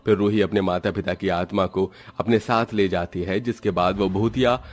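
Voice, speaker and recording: A man's voice: sits low, steady tone, moderate pace. A man narrating a scripted horror cartoon story as a storyteller, with music behind him.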